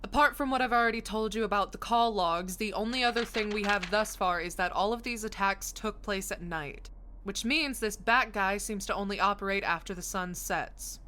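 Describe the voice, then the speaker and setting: A bright, forceful woman's voice from an actress at a middle pitch plays a police lieutenant in an audio drama. She is brisk, articulate and businesslike. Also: slight background noise, close to an everyday voice.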